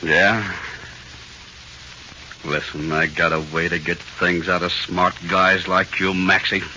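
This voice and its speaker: A vengeful, bullying American cop in a 1940s radio play. His low voice is hard and very sharp, talking in gruff slang on a dull old recording. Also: level tone, slow, a little hiss or hum, heavily acted. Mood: bullying, taunting. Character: hard, menacing, vengeful male police interrogator. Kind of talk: 1940s radio drama acting.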